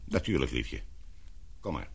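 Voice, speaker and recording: An actor playing a kindly older man in a Dutch radio drama, speaking in a paternal, measured, husky voice. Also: extremely low pitch, sing-song, heavily acted. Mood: soothing. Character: kindly, fatherly older man comforting a young woman.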